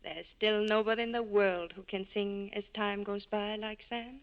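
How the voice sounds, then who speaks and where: An actress playing a wistful, gently insistent former lover in a 1940s radio drama. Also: female voice, mid-range voice, fairly even intonation, moderate pace, lightly acted. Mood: guarded, nostalgic. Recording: muffled vintage radio recording.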